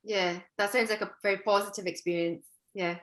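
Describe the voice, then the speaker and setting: A woman's voice: mid-range voice, steady tone, brisk, a bit bright. A woman hosting an interview on a video call, chatty and full of fillers. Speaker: host, careers adviser.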